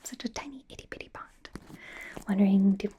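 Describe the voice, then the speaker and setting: A woman playing a bubbly, fawning young female K-pop idol stylist in a soft, breathy ASMR roleplay. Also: medium pitch, a little hiss or hum, close to an everyday voice. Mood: sincere, reassuring. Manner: gushing, casual, slow, with repeated soft reassurances. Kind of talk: whispered ASMR roleplay, personal attention.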